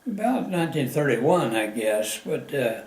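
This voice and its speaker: A man of ninety-nine from the mountains of southwestern Virginia, recalling his life in a halting way with many pauses and fillers. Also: mid-range voice, sing-song, darkish tone, reverberant, low-quality recording. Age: 90s. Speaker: interviewee. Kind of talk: oral history interview.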